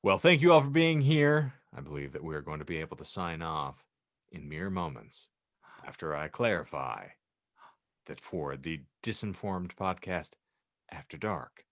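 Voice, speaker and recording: A man with a low-pitched voice hosts a loose, joking panel chat. His phrasing is wordy and mock-formal, even in casual banter. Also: a little slow, no echo, studio quality. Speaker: podcast host.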